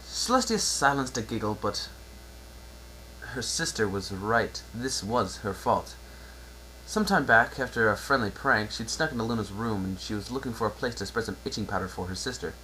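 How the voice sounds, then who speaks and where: A man's voice: lowish voice, animated, normal speed, bright, forceful tone, low-quality recording. Male story narrator, faint hum behind. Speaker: narrator, amateur reader. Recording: faint background noise and hum.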